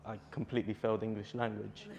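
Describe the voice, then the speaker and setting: Low-voiced young man from Bulgaria. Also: level tone, quick pace, creaky, a little mellow. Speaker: poet guest. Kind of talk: casual interview chat.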